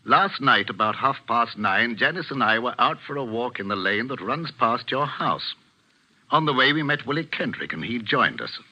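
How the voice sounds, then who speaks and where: An actor playing a middle-aged English village vicar in a radio mystery, speaking with measured, commanding earnestness in an English accent. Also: male voice, mid-range voice, expressive, pushed and bright, dull audio, heavily acted. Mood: grave, intense. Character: grave, authoritative English village vicar, a father. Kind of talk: 1940s radio mystery acting.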